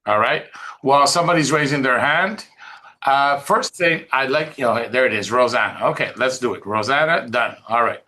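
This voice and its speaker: A man's voice: mid-range voice, normal speed, bright, forceful tone. A man hosting an online team call, talking off the cuff and insistently, repeating points and checking that listeners follow. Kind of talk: unscripted motivational talk.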